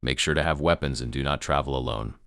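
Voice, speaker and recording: A man playing a grave emergency news broadcaster in an amateur apocalypse broadcast, gravelly, solemn and halting in his delivery. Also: very deep voice, a bit bright, dry recording, studio quality, heavily acted. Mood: grave warning. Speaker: news anchor.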